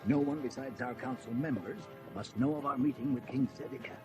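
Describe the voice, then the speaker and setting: A man playing an authoritative king and father in an audio drama, formal, measured and commanding. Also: mid-range voice, music in the background, natural voice. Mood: firm.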